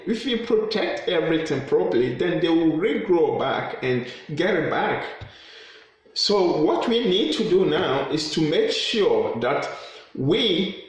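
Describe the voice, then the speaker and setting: Fervent Ghanaian man in an echoey room. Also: fairly high pitch. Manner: fervent, exhorting, repeats phrases for emphasis. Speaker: anti-corruption campaigner. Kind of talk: impassioned appeal to camera.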